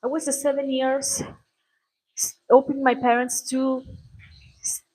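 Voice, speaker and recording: A woman's voice: high voice, level tone, slow, a little mellow, husky, rough recording. Earnest young woman rambling to camera. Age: 20s. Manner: earnest, rambling, with frequent restarts and "like". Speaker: vlogger. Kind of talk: personal vlog monologue.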